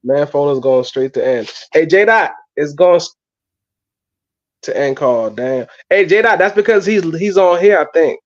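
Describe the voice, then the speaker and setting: A man's voice: fairly high pitch, lively intonation, moderate pace. A man hosting a casual live sports stream over a phone, talking in slang and often repeating himself as he stumbles over words. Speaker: host of a live sports fan show. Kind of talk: casual live-stream chat about college football. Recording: dull, muffled phone audio.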